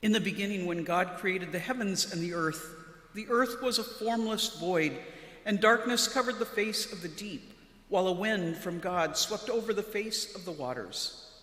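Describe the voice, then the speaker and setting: A woman, a pastor, reading scripture from a church lectern in a solemn, measured way. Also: medium pitch, expressive, fairly bright tone. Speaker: Lutheran pastor. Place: large church interior, at the lectern. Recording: echoey church with long reverb.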